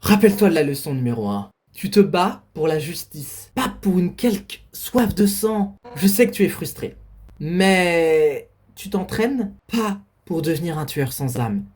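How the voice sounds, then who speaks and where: A woman's voice: on the low side, expressive, a little slow, darkish tone, husky. A woman voicing a fierce, spirited female warrior monster and mentor in an animation fan dub, with emphatic theatrical delivery. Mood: playful scolding. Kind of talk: dramatic animation dubbing. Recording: music behind the voice.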